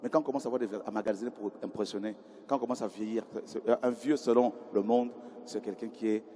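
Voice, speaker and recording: A man's voice: on the low side, steady tone, quick pace. Man, preacher, sermon, conversational, asks the congregation questions, long hall reverb. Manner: conversational, questions the congregation, swings from quiet to loud cries. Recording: hall reverb with faint ambience.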